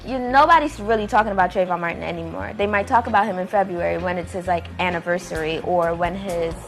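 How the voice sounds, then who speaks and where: A woman's voice: fairly low pitch, quick pace, soft background music. A woman speaking as an interview guest, explaining her thoughts earnestly in a conversational way. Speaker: guest, playwright and director.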